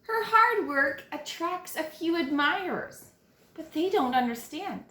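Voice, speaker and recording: A woman teacher reading a children's picture book aloud, with dramatic, deliberate phrasing and stressed key words. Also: very high-pitched, expressive, sharp tone, echoey room. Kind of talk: expressive children's read-aloud narration.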